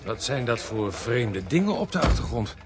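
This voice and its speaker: A man acting as a casual, inquisitive police detective with a very lively, mid-pitched voice. It is radio drama, with music clearly behind him. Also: moderate pace, close to an everyday voice. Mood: curious.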